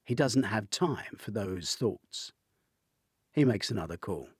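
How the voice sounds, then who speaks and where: An older man narrates a thriller with a low, husky voice. His pitch moves freely as he tells the story with dramatic expression, recorded close and dry. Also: animated, measured pace, no room sound. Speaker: author reading own novel. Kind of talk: audiobook-style thriller narration.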